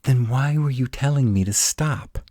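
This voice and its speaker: A man playing a gruff, protective, uptight male were-dragon in an intimate roleplay audio drama, halting and dramatic. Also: medium pitch, dark tone, dry recording, studio quality, lightly acted. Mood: agitated, incredulous. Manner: halting, dramatic, slow delivery with emphatic outbursts.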